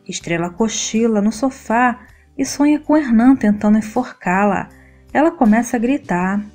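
A woman narrating a scripted soap opera episode recap in a steady, even read-aloud delivery. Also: on the high side, normal speed, faint music behind.

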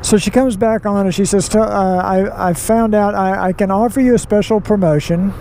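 A man's voice: high-pitched, steady tone, moderate pace, dark tone. A middle-aged man vlogging as he rides a motorcycle, recorded on a helmet mic, telling a story in a folksy, rambling way with lots of asides. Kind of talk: casual riding vlog monologue. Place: outdoors riding a motorcycle on city roads. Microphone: helmet mic, helmet-mounted camera. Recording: road and wind noise from riding.

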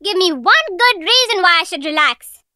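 Shrill, nagging rabbit wife. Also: female voice, animated, moderate pace, bright, forceful tone, no echo, studio quality, heavily acted. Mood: scornful, scolding. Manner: sarcastic, scolding, relentless. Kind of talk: animated cartoon acting.

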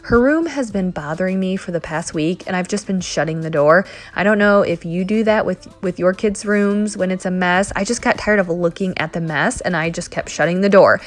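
A woman's voice: on the low side, moderate pace, hardly any pauses. A woman in her thirties chatting through a home cleaning vlog voice-over, talking straight to her viewers. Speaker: cleaning vlogger. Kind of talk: casual voice-over narration.

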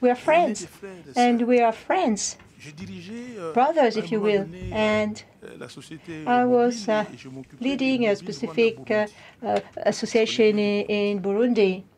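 A woman giving a live simultaneous interpretation of a conference speech, in halting, piecemeal phrases with repeated words. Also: on the high side, a little mellow.

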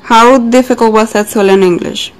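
A woman's voice: on the high side, steady tone, normal speed, clear, resonant tone, rough recording. A woman interviewer reading polite, scripted questions.

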